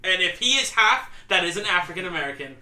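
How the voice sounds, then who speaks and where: A man's voice: sits high, lively intonation, fast talker, pushed and bright. A young man co-hosting a casual wrestling podcast, argumentative and often laughing. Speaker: podcast co-host. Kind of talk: casual wrestling review chat. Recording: echoey room.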